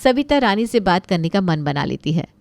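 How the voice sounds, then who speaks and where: A woman narrating a scripted moral story with expressive storytelling delivery. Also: fairly low pitch, moderate pace, gravelly, dry recording.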